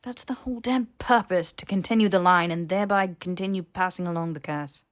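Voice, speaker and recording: A woman's voice: fairly low pitch, a little fast, lightly acted. Woman playing a sneering young aristocratic wizard. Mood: exasperated, mocking. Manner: scoffing, drawling sarcasm. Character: sneering, sarcastic young aristocratic wizard man, dramatic and testy.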